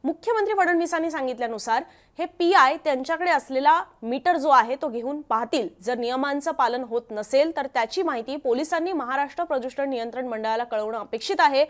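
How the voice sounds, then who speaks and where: A woman with a distinctly high voice and a Maharashtrian accent presents the news. She speaks as an explainer, stressing and repeating the key points as she goes. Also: a little fast.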